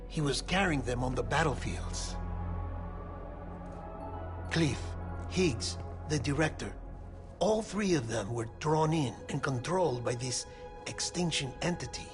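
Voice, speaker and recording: An actor playing an earnest male ally who reasons aloud and gives urgent instructions in a game cutscene. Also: mid-range voice. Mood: pondering. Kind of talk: video game cutscene acting.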